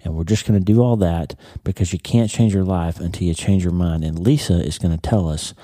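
A man hosting a podcast in a casual motivational talk, his voice congested and a little croaky, often repeating words as he goes. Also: deep voice, fairly quick, dark tone. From United States. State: congested, stuffy. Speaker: podcast host.

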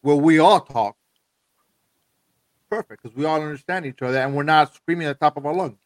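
A man's voice: a little high, fairly quick, dry recording. A man hosting a casual talk show, stressing points and checking in with "okay?". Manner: emphatic, repeats "okay". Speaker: show host.

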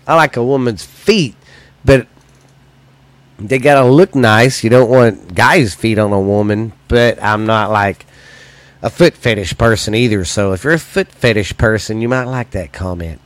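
A man's voice: medium pitch, animated, measured pace, no echo. A man with an American accent hosting a solo podcast in casual, crude comic chat, blunt and loose, his voice slightly weak and stuffy. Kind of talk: casual, profane comedy chat.

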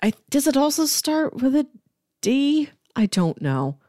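A woman's voice: sits high, sing-song, measured pace, warm tone, no room sound, studio quality. A woman co-hosting a podcast, chatting casually about books and acting out bits as she goes. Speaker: podcast co-host discussing comics. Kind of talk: casual chat, book review.

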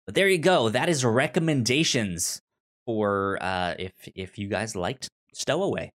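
A man co-hosting a casual discussion podcast, speaking informally and haltingly, with stuttered, repeated syllables and words. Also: on the low side, animated, fairly bright tone. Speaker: podcast co-host. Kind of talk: casual book and film discussion.

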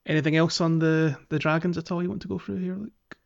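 A man's voice: fairly high pitch, steady tone, quick pace, gravelly, lo-fi sound. A man hosting a casual podcast chat, hesitant and often correcting himself. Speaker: podcast host.

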